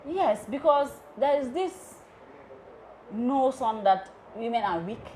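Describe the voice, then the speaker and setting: An earnest woman talking as an interview guest, with a voice pitched somewhat high. She speaks with emphasis and drops local words into her English, over a faint hum behind her. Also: animated, slow pace, darkish tone, poor audio. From The Gambia. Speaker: electrical engineer, guest. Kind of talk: live TV interview.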